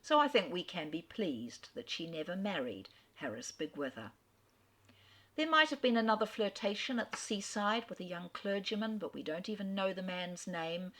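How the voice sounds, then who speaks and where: Middle-aged woman, literary speaker, fairly low, measured storyteller with dry wit. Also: animated, a bit bright. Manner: clear, measured storyteller with wry asides. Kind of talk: recorded talk on an author.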